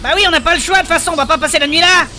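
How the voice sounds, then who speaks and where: A woman's voice: very high-pitched, very fast, loud music behind the voice. A woman playing an anxious, protective, blunt male adventurer who swears often in a French fantasy audio comedy. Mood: urgent.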